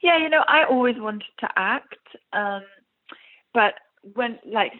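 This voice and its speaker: A woman's voice: fairly high pitch, animated, a little slow. Woman with an English accent, Iranian-born, chatty actress guest, lots of fillers, thin phone-like sound. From Iran. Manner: chatty, laughs often, many fillers. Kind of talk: casual interview talk. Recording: tinny phone-like line.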